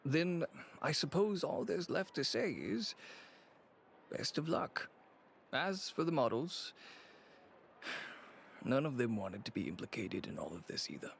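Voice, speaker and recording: A pragmatic game character with a gravelly voice that swings widely in pitch. He sounds wry and resigned, with faint noise behind him. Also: very animated, measured pace, pauses often, a bit bright, exaggerated voice. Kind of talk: video game voice acting.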